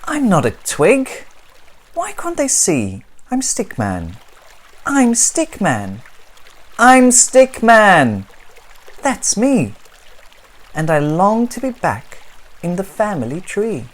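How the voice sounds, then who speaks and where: A woman's voice: mid-range voice, wildly swooping pitch, takes it slowly, pauses often, pitched above the performer's own voice, full character voice. A woman voicing an indignant little stick man in a children's storybook, protesting plaintively and emphatically. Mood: indignant, longing.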